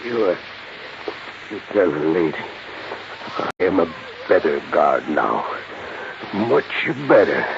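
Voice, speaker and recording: A noisy, muffled vintage radio drama recording. a simple, loyal male guard with a foreign accent, creaky voice and halting, earnest delivery that swings widely in pitch. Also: big pitch swings, heavily acted. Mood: weak, proud. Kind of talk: 1950s radio acting.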